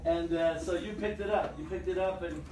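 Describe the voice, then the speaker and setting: A man's voice: sits high, steady tone, moderate pace. A man giving a casual, chatty motivational talk as a guest presenter, drawing a live audience in with questions. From born in Jordan, moved to America at five. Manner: chatty, engaging listeners with questions. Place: indoor room with live audience. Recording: echoey room, distant mic, background noise.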